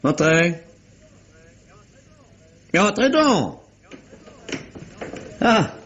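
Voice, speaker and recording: An actor playing an imperious, insistent public prosecutor with formal, pointed questioning in a vintage French radio mystery. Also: male voice, high-pitched, sing-song, extremely slow, noisy background, heavily acted. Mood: exasperated. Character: imperious, insistent English male public prosecutor interrogating witnesses. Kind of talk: 1950s-style French radio mystery acting. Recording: dull, muffled vintage radio recording.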